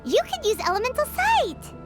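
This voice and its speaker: Super squeaky little game fairy girl, cheeky n excitable, bouncy swoopy cutesy delivery. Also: normal speed, loud music behind the voice, full character voice. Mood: curious, excited. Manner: chirpy, cutesy, expressive. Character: small chirpy childlike female fairy companion, cheeky and excitable. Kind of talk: video game voice acting.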